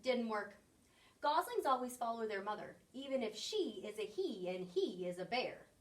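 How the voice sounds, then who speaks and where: A woman reads a storybook aloud for children, her fairly high voice moving with lots of expression. She sounds a little way back from the mic. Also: very animated, normal speed, far from the mic, slight background noise. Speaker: story reader. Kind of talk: expressive picture book read-aloud for children.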